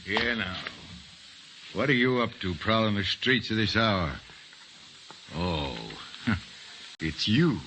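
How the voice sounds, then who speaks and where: An actor playing a gruff, suspicious, folksy police sergeant in an old-time radio drama. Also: male voice, lowish voice, slow pace, long pauses. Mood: gruff, wary. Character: gruff, suspicious male police sergeant, secretly a mad killer. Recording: noisy old radio recording.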